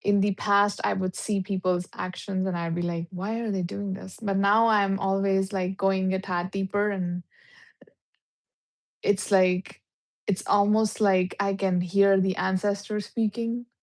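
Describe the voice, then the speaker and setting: Woman, medium pitch, reflective, casual filler-heavy talk, video call guest. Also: level tone, measured pace. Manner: reflective, uses many fillers like "like". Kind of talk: casual conversation on a live stream.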